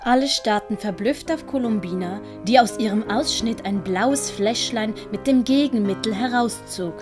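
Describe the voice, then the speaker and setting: A woman's voice: a little high, normal speed. A woman with a Swiss German accent reading a scripted story as its narrator. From Switzerland. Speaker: narrator and author of an audiobook. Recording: music behind the voice. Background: music and sound effects.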